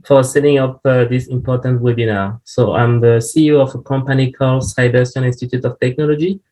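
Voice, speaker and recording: A man from Cameroon, a company CEO, speaking on a webinar panel in an earnest, halting way with frequent fillers over a remote call mic. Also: mid-range voice, steady tone, dark tone, poor audio.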